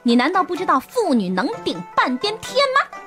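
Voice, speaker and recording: A woman's voice: sits high, wildly swooping pitch, moderate pace, sharp tone, faint music behind, full character voice. A woman playing a cheeky, melodramatic young maiden in a comedic radio drama, theatrical and outspoken. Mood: indignant.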